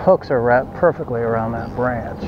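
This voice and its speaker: A man in the middle pitch range is kayak fishing outdoors, muttering asides and calling over to a buddy. His voice comes through a muffled, lo-fi action-camera mic. Water and wind noise sit clearly behind him. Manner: talks to himself and his buddy while fishing, quick exclamations. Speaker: fishing vlogger. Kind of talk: casual running commentary.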